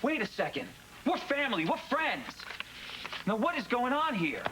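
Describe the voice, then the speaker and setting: A man's voice: very high-pitched, big pitch swings, moderate pace, background noise. An actor playing a man who presses his cousin with incredulous, emphatic questions. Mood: pressing. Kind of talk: TV drama acting.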